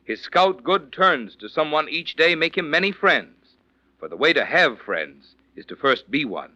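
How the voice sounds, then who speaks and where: A man delivers scripted 1940s radio announcements. His voice is fairly high, bright and very animated, on a dull vintage recording. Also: normal speed, dry recording. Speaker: radio announcer reading sponsor message.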